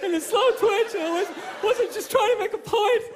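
A man's voice: very high voice, moderate pace, a faint crowd, a little hiss or hum, voice pushed up. A man putting on a whiny, bewildered male sportscaster who has just been fired. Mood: whining.

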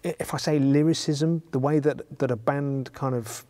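Male host in his fifties from England, dark, mellow tone, careful and halting, lapel mic in a studio hall. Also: mid-range voice, fairly even intonation. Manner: hesitant, restarts, considered. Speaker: interviewer host. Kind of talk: interview. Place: studio hall with a grand piano.

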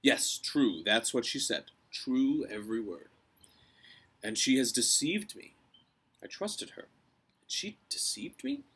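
A husky, demanding bear king from a storybook, acted out by a man reading aloud. He is proud and greedy, easily fooled, and expects to be obeyed. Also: mid-range voice, big pitch swings, takes it slowly, pauses often, a bit bright, exaggerated voice. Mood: indignant. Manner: imperious, demanding. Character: proud, greedy, gullible king of armored bears.

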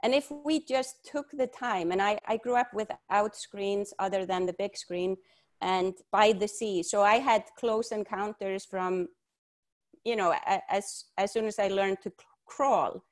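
A middle-aged woman from Iceland speaks on a video call through wired earbuds from a home study. She talks earnestly and with feeling, often restarting her sentences and filling pauses. Her voice sits at an ordinary middle pitch. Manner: earnest, passionate, many fillers and restarts. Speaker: co-host. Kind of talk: casual video call talk. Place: home study with bookshelves and windows.

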